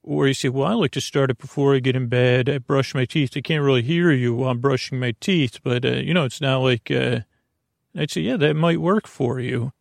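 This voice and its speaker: Male sleep podcast host, gravelly and close-sounding in a dry space, meandering and halting through whimsical bedtime rambles. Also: mid-range voice, dry recording. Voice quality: creaky, gravelly. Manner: meandering, halting, repeats words and drifts into whimsical tangents.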